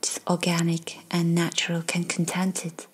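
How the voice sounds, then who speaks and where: A woman guiding a meditation in a soft, breathy, half-whispered voice, speaking gently in measured short phrases with long pauses. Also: fairly low pitch, completely flat delivery, poor audio. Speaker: meditation guide. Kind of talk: guided meditation, slow scripted narration. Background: faint background music.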